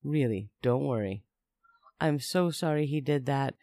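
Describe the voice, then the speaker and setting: A man's voice: mid-range voice, unhurried, creaky, dark tone, dry recording, studio quality. A man voicing a protective young mother in a narrated story, earnest and restrained. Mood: soothing.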